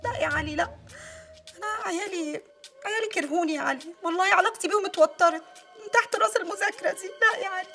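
A woman's voice: extremely high pitch, quick pace, music in the background. A woman acting an exasperated, sarcastic mother and wife in a TV comedy-drama, with an Egyptian accent and emphatic, repetitive phrasing. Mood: worn-out, protesting.

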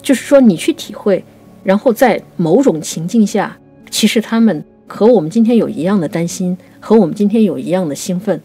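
A woman's voice: medium pitch, lively intonation, fairly quick, dark tone, breathy edge, faint music behind. A woman co-hosting a talk on poetry, explaining things in a reflective, conversational way. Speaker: podcast writer and co-host explaining poetry. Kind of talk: conversational literary discussion.

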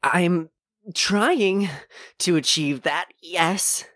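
A woman playing an earnest, hesitant sentient android in an audio drama, speaking in halting, precise, formal phrases. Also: on the low side, fairly bright tone, no room sound, heavily acted.

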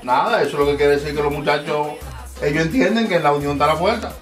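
A man's voice: a little high, fast, over music. A man co-hosting a casual radio interview, joking and summing up what the guests say. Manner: joking, sums up guests' answers. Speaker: radio co-host. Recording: echoey room, mic far off.

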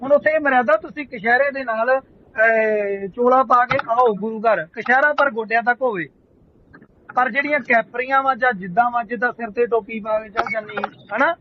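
Male phone debater, very high voice, explanatory and persuasive, muffled call with some hiss. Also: normal speed. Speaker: caller debating a social media post. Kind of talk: argumentative phone conversation.

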